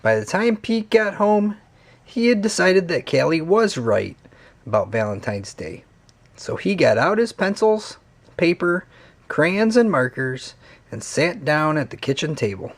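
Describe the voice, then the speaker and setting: A man narrating a children's read-along story in an expressive, swooping storytelling manner. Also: fairly high pitch, unhurried.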